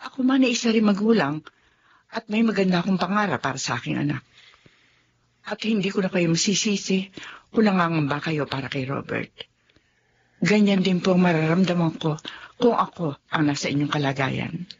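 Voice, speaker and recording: An actress playing a widowed school principal who speaks politely and calmly to her lover's father. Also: lowish voice, normal speed, long pauses, dull audio, slight background noise. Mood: earnest. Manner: polite, calm, respectful. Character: widowed woman principal, humble, devoted lover. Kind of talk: radio drama acting.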